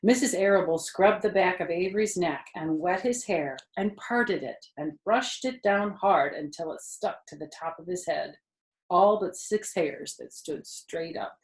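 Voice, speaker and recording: Female children's storyteller: lower register, expressive and deliberate, recorded in a roomy, echoing space.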